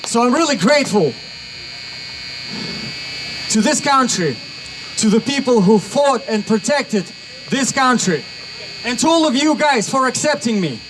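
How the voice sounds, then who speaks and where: A young man with a Russian accent giving an energetic speech to a crowd at an outdoor rally. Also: very high-pitched, a little slow, long pauses. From Uzbekistan. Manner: energetic, builds to shouts. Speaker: rally speaker. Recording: noisy outdoor crowd recording.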